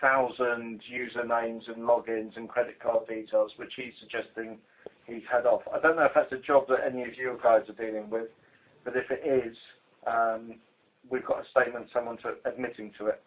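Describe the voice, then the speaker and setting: A man's voice: medium pitch, level tone, moderate pace. A British man giving an informal work briefing as a police investigator over a telephone line, speaking in a dry, blunt, hesitant way. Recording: muffled phone conference call recording.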